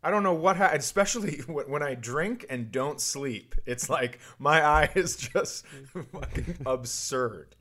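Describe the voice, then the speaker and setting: A man's voice: fairly high pitch, lively intonation, a little slow, few pauses, a bit bright. Self-deprecating man telling comic stories. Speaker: podcast host, comedian. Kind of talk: casual comedic banter and storytelling.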